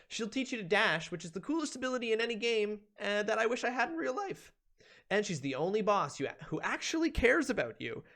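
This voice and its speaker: A man's voice: high-pitched, fairly bright tone. A man hosting a stream, giving a playful, theatrical reading of a comedic ranked list with emphatic delivery. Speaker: streamer, host.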